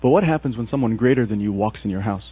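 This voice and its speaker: A man's voice: fairly low pitch, quick pace. A man from Egypt preaching a sermon in a storytelling way, acting out the people in his stories. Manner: storytelling, animated, acts out quoted characters. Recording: husky voice over a tinny, dry, phone-like recording with mains hum.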